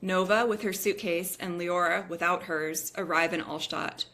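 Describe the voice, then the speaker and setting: Female narrator for a screenplay table read, slightly low register, delivering scene headings and action. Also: steady tone, moderate pace, pushed and bright. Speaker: reader of stage directions.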